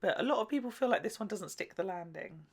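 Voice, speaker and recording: A man's voice: sits high, expressive, fast talker, slightly rough. A young British man hosting a reaction video in casual chat, with a slangy, playful, emphatic way of talking. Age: young adult. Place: small furnished room at home, indoors. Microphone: large desktop microphone between two speakers.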